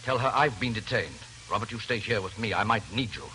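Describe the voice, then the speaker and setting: An actor playing a brisk, authoritative police inspector in 1940s radio detective drama, commanding and clipped. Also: male voice, lowish voice, close to an everyday voice, rough recording. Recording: old radio broadcast, music behind.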